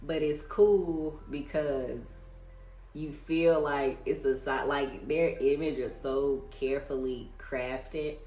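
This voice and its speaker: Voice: medium pitch, fairly even intonation, takes it slowly, darkish tone, echoey room, rough recording. An American reader with an informal, conversational manner, reading books aloud and adding casual commentary. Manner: informal, conversational asides between read passages. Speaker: book reader and reviewer hosting a read-aloud.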